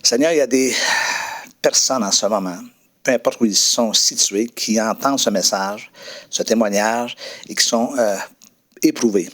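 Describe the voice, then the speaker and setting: A French-speaking man sharing a personal faith testimony as a guest pastor, pausing often with fillers and restarts, then leading a prayer. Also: fairly low pitch, fairly bright tone. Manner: hesitant, many fillers and restarts.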